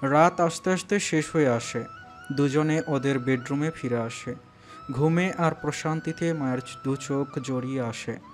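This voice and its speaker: A man's voice: medium pitch, a little slow. A man narrating a romantic audio story in a dramatic, scripted style. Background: background music.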